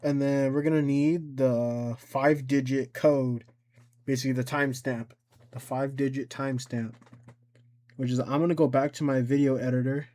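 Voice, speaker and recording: A man's voice: medium pitch, flat delivery, normal speed, dark tone. Casual man thinking aloud through a tutorial. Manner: rambling, thinking aloud as he works. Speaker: tutorial presenter. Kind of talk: casual screen-recorded software tutorial.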